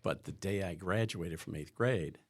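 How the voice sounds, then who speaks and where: A man's voice: sits low, moderate pace. A man from the Chicago area, a podcast guest from a school's staff, talking in a rambling way with many restarts. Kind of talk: conversational podcast interview.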